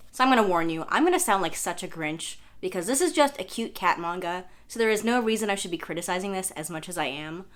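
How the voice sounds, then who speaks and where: A young woman with a lowish voice that has gone slightly hoarse, as if she is losing it. She chats casually to camera while sitting on the floor at home, hedging often with little fillers and poking fun at herself. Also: animated, quick pace, hardly any pauses. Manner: chatty, self-deprecating, many fillers like "like" and "I don't know". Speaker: manga vlogger. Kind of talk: casual review chat to camera.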